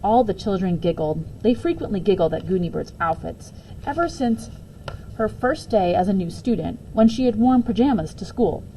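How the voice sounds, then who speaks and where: A woman reading a children's book aloud as a teacher would, in an expressive storytelling style. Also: medium pitch, moderate pace, slight background noise, poor audio.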